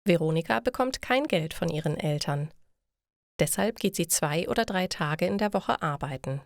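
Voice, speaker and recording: Female narrator for learner stories: lowish voice, measured scripted reading, very dry recording. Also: lively intonation, dry recording.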